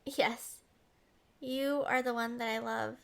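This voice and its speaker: A woman's voice: a little high, fairly even intonation, slow pace, vocal fry, fairly bright tone, full character voice. A woman playing a smitten, possessive young princess in a romantic roleplay audio, coy, entitled and playfully defensive. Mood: tender, smitten.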